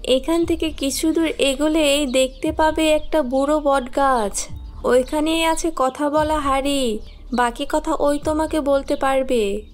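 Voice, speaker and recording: Woman as a gentle, high-voiced captive fairy. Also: steady tone, soft background music. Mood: urgent guiding. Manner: slow, drawn-out, earnest warnings. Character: gentle imprisoned blue fairy, earnest helper. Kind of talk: animated fairy-tale cartoon acting.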